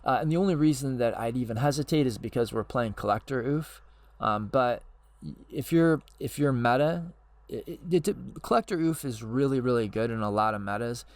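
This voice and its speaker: A man hosting his own channel, hoarse and losing his voice, talking casually and unscripted with frequent fillers and restarts. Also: medium pitch, moderate pace. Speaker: channel host.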